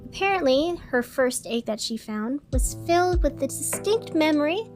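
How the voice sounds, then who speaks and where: A woman playing a chatty, earnest radio host who reports a fantasy city's troubles in an expressive, conversational, scripted news style. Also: sits high, normal speed. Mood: exasperated, amused. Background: music.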